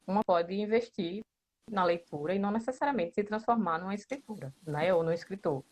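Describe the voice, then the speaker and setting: A woman's voice: mid-range voice, a little fast, darkish tone. A Brazilian Portuguese-speaking woman giving casual, explanatory interview answers, often checking in with small tag questions. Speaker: interviewed member of a writing group.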